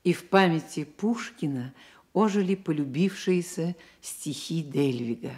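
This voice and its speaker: A woman narrating a radio drama in a measured, literary storytelling manner. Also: low voice, darkish tone, breathy edge.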